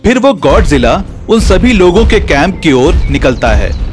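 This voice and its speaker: A man's voice: on the high side, sing-song, a little slow, music in the background. A man narrating a scripted story as a storyteller.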